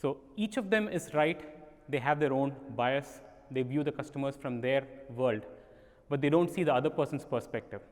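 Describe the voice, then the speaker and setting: A man with an Indian accent giving a prepared conference talk, measured and explanatory like a storyteller. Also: mid-range voice, a little mellow. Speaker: conference speaker. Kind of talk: prepared keynote presentation. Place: conference hall. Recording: long reverb of a conference hall.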